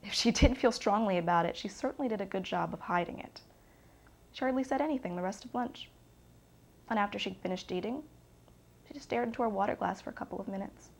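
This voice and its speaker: A woman's voice: mid-range voice, fast talker, vocal fry. A woman playing a candid, self-assured girlfriend, speaking frankly in a dramatised documentary interview. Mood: puzzled, defensive.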